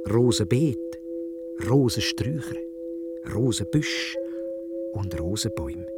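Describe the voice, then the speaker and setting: A man with a Swiss German accent telling a children's story in dialect, expressive and playful. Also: on the low side, takes it slowly, over loud music.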